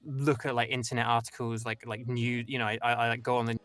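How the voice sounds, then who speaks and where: A man's voice: medium pitch, level tone, fast, a bit bright. A young man with an English accent, chatting as a voice-actor guest in a rambling, self-interrupting way. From England. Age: young adult. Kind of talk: casual interview chat.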